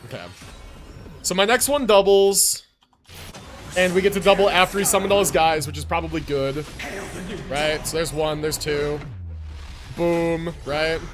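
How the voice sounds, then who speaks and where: A man's voice: a little high, fairly even intonation, unhurried, pauses often. A man doing casual live game commentary, thinking aloud in slangy, sweary language. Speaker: game livestreamer. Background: game music.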